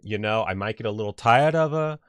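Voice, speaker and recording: A man's voice: on the low side, expressive, fairly quick, smooth, no room sound, lightly acted. A man voicing a lonely widower in a put-on Japanese accent, musing in a matter-of-fact way. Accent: mock Japanese.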